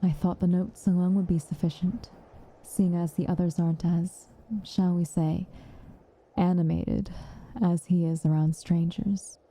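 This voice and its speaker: Woman playing a slow, dark-voiced, breathy witch. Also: lowish voice, flat delivery. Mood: patient explaining. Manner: measured, soft, often dropping to a whisper, dryly commanding. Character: stern but caring tsundere witch woman, protective. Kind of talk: ASMR roleplay, intimate acted monologue.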